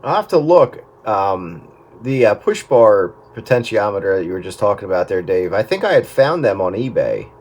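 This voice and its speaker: Low-voiced man on radio, faint static. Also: big pitch swings, normal speed, hardly any pauses. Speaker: amateur radio operator. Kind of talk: casual radio chat.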